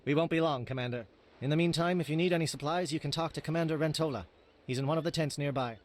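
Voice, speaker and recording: Man playing a fast-talking stern alien captain. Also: a little high, close to an everyday voice. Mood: businesslike. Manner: clipped, urgent, formal military delivery. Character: brisk alien military captain, male, stern and resolute. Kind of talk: video game voice acting.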